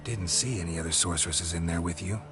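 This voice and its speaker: An actor playing a laconic, weary, guarded monster hunter in video game dialogue, speaking tersely with understatement. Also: male voice, deep voice, quick pace, close to an everyday voice. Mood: dry. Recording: music playing behind the voice.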